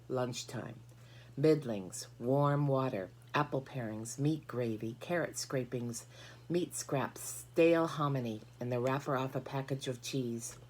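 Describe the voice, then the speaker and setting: Female storybook narrator, low register, scripted children's reading, faint hum behind. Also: a little mellow. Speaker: storybook reader.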